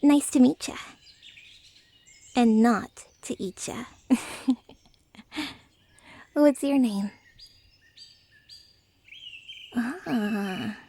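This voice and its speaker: A woman voicing a friendly, naive, excitable dragon in humanoid form, chatty and playful in a soft ASMR roleplay style. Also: a little high, very slow, long pauses, slightly rough, no room sound, exaggerated voice. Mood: eager, friendly. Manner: chatty, playful, casually blunt about eating people.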